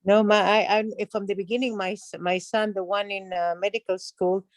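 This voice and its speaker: A woman in her fifties with an Israeli accent chatting on a video call, often pausing to search for words. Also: mid-range voice, fairly even intonation, no room sound. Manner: warm listener, searching for words. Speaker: class participant. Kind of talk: casual chat on a video call.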